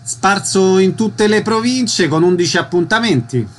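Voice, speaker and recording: A man's voice: sits high, expressive, measured pace. A man presenting and interviewing as a radio host.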